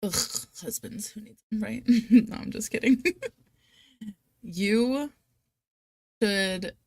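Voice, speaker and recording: A woman's voice: medium pitch, slow pace, darkish tone, no echo, clean studio sound. A woman with a Rhode Island accent hosting a casual live chat, talking in a chatty, self-deprecating, giggly and playfully dramatic way. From United States, Rhode Island. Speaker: live stream host of reading sprints.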